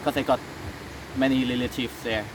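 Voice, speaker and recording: A man from Thailand with a Thai accent, speaking English as a second language in a casual interview, halting with many fillers and restarts. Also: mid-range voice, little pitch movement, noisy background.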